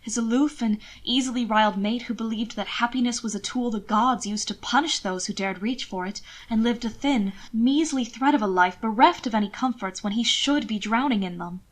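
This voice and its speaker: Woman, higher-pitched voice, audiobook narrator, expressive scripted storytelling. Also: normal speed, hardly any pauses. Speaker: audiobook narrator of fan fiction.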